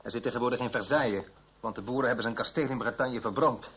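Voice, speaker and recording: An actor playing a confident, wry French revolutionary deputy in a radio play. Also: male voice, mid-range voice, fast, close to an everyday voice. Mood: wry, indignant. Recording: dull, husky old radio play recording.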